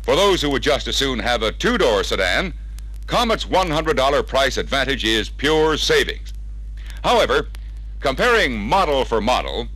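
Bright, animated 1960s American sales narrator, male. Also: medium pitch, no room sound, rough recording. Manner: emphatic, polished salesman delivery. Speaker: narrator of a car salesman training film. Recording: vintage 1961 training film record.

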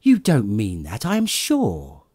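A man's voice: fairly low pitch, huge pitch swings, takes it slowly, darkish tone, breathy edge, no room sound, studio quality, full character voice. A man voicing a cheerful, earnest young nephew with a hearty, pleading manner in an audiobook. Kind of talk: dramatic audiobook character reading.

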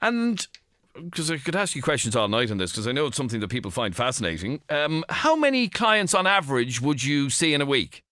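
Middle-aged Irish male talk-radio host; crisp bright tone, animated pitch, halting probing questions. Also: no room sound, clean studio sound. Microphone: studio microphone.

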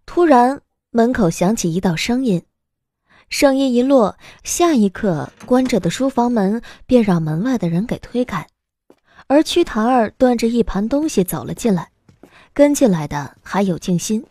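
A woman reading scripted audiobook narration as an expressive storyteller. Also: on the high side, moderate pace, no echo, studio quality.

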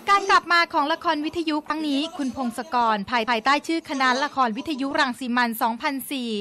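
A woman's voice: sits high, a little fast, pushed and bright, a little hiss or hum. A woman narrating a television news feature from a script in a polite, polished presenter manner.

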